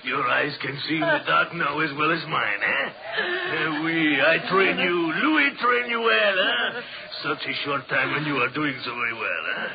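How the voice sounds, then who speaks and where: A man's voice: fairly high pitch, very animated, a little slow, vocal fry, heavily acted. Deranged, gloating Frenchman, wildly swooping voice. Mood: urgent, gloating. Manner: theatrical, gloating, cackling, punctuated with French endearments. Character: deranged Frenchman in the sewers, gloating bone-collecting killer. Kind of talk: old-time radio horror acting. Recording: noisy, muffled old radio recording.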